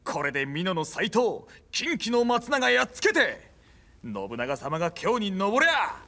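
An actor playing a cheerful, boisterous samurai retainer in a game, with hearty, rough colloquial speech. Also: male voice, high-pitched, big pitch swings, a little slow, pushed and bright, heavily acted. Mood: jubilant. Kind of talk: dramatic video game cutscene acting.

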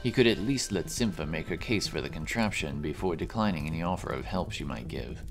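A man narrates a fantasy adventure from a script. His voice is deep and moves expressively up and down, over clearly audible music. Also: animated, fairly quick.

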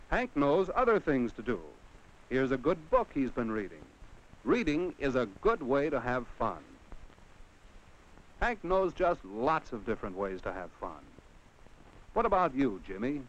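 Animated American man narrating a vintage educational film. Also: mid-range voice, a little slow, slight background noise. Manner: addresses the child on screen directly with rhetorical questions. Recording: dull vintage 1950 film soundtrack.

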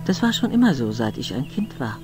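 A man playing a proud, stern elderly aristocrat in an adventure game, speaking in a formal, haughty, measured way. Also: medium pitch, sing-song, over music, lightly acted. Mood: dismissive, astonished. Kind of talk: adventure game voice acting, German dub.

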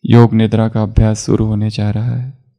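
A man guiding a relaxation meditation as an instructor, giving calm, measured instructions with long pauses. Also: lowish voice. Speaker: yoga nidra meditation instructor.

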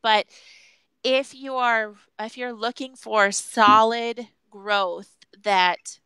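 A woman's voice: on the high side, steady tone, drawn-out words, sharp tone, clear, resonant tone, no room sound, poor audio. A woman in her thirties chatting informally as a guest, speaking into a headset on a video call. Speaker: guest, marketing consultant. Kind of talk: casual chat. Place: room at home, indoors.

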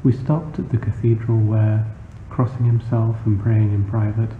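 A man's voice: fairly low pitch, level tone, moderate pace. Man reading literary prose, muffled and echoey. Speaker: author reading a short story. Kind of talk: literary scripted narration. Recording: muffled phone-like sound, noisy, roomy echo.

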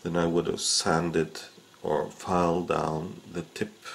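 A man's voice: low-pitched, little pitch movement, takes it slowly, vocal fry, a little hiss or hum. A man giving a casual how-to demonstration of repairing a fishing rod, talking unhurriedly with frequent filler sounds as he works at an indoor bench. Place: indoors at a workbench.

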